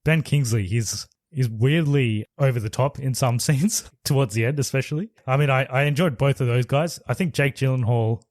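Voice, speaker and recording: A man's voice: medium pitch, normal speed, few pauses, darkish tone, no echo, studio quality. A man co-hosting a podcast, chatting casually about films, rambling and hedging with frequent laughs. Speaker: podcast co-host. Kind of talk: casual movie review chat.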